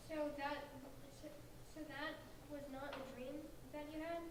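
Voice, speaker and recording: A woman's voice: sits high, steady tone, measured pace. A woman acting an unsure, questioning younger child in a staged play reading. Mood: hesitant. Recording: very echoey room, distant mic, noisy.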